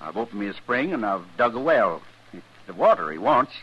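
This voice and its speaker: Husky, stubborn homesteader. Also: male voice, fairly low pitch, very animated, fairly quick. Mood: defiant. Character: stubborn, wronged frontier homesteader, gruff and defiant. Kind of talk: old-time radio western acting. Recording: dull old radio recording.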